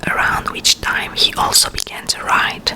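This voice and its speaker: A woman whispering close to the mic in an ASMR video, chatting in rambling asides and reading aloud. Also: normal speed. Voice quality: soft unvoiced whisper. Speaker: ASMR creator. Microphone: close to a sensitive mic.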